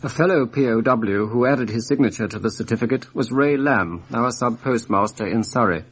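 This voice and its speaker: Husky male documentary narrator. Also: medium pitch, moderate pace. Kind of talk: scripted narration.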